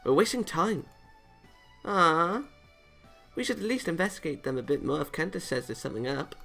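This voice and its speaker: A man's voice: fairly high pitch, animated, moderate pace, gravelly, sharp tone, soft background music, voice pushed up, full character voice. A man voicing bickering, exasperated young angel girls in a visual novel, with an indignant, huffy manner. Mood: insistent. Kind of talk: visual novel character reading.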